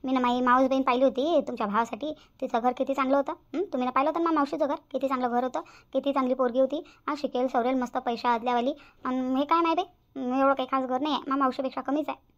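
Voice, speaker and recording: Woman acting fast, high-pitched blunt sister-in-law. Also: fairly even intonation, close to an everyday voice. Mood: defiant, huffy. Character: blunt, quarrelsome young sister-in-law at a family engagement. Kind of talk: Marathi family comedy cartoon dialogue. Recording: slightly dull lo-fi audio.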